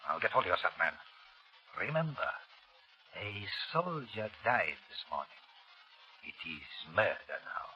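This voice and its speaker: An actor playing a callous, domineering scientist doctor in a 1930s radio drama. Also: male voice, fairly low pitch, sing-song, measured pace, long pauses, sharp tone, soft background music, heavily acted. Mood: cold. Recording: dull, noisy 1930s radio broadcast.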